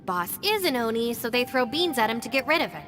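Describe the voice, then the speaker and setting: A woman's voice: a little high, big pitch swings, quick pace, in a large hall, music in the background. A woman playing a dry, sensible young deputy in a video game, wry and matter-of-fact as she teases her boss.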